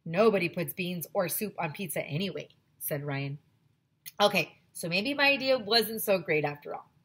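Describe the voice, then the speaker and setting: A woman in her thirties, a teacher on a headset mic, reading a chapter book aloud to children with lively, expressive storytelling. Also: on the low side, normal speed, slightly rough. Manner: expressive storytelling, addresses kids directly. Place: small home room with classroom decorations.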